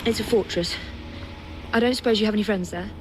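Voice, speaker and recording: A woman with a British accent playing a brisk, confident young assassin in a video game, with a wry manner. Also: medium pitch, over music, close to an everyday voice. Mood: brisk, keen. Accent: British English. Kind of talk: video game dialogue acting.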